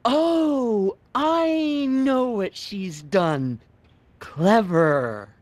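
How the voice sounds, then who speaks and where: A man's voice: sits high, animated, very slow, no echo, full character voice. An actor playing a timid, nervous young American man in a video game, speaking hesitantly.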